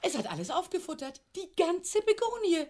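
An actress playing a doting, gently stubborn middle-aged mother in a satirical radio play, dreamy and softly insistent. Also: very high-pitched, sing-song, normal speed, a little hiss or hum. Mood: cooing, delighted. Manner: cooing, apologetic, softly insistent. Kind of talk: German radio play acting, satirical comedy.

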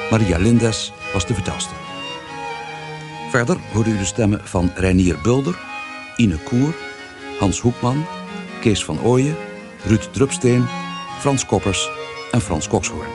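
Male announcer for radio credits: low voice, formal scripted read over music. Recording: music playing behind.

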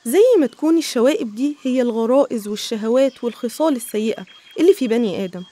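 A woman's voice: a little high, animated, very fast, mellow. A woman with an Egyptian accent narrating scripted religious stories in an explanatory, didactic manner.

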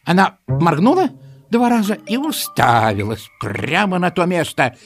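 A man narrating a children's audio play in an expressive storytelling style. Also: high-pitched, measured pace, noisy background. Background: music.

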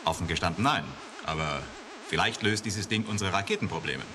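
A man playing a gruff, sceptical army general in a German radio drama, blunt in manner. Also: low-pitched, fairly quick, natural voice. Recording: 1980 radio drama, music underneath.

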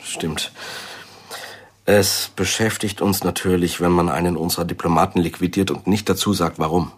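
A guarded secret-service man in a radio crime drama. His voice is very low and husky, and he sets out his business in measured, deliberate phrases. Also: fairly even intonation.

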